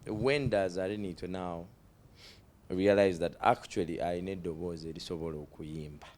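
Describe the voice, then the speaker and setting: Deep-voiced Ugandan male talk show host. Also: a little mellow. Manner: emphatic, drawn-out delivery with frequent laughter. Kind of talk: interview chat mixing English and Luganda.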